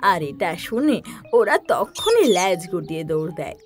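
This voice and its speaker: A woman's voice: lowish voice, big pitch swings, normal speed, soft background music. A woman reading scripted narration for a children's story.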